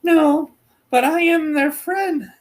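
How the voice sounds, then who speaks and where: A woman's voice: sits high, slow, voice pushed up. A woman voicing a kindly, grateful little old good witch in a storybook read-aloud. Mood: kindly, modest.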